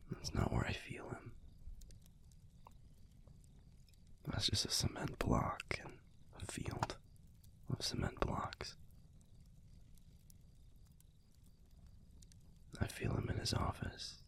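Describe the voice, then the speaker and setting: A man playing a tender, wistful werewolf boyfriend in an intimate audio roleplay, speaking in a hushed, halting, husky and breathy voice with a gravelly edge. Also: sits low, exaggerated voice. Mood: tender, reflective. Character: tender, wistful young male werewolf pack leader boyfriend.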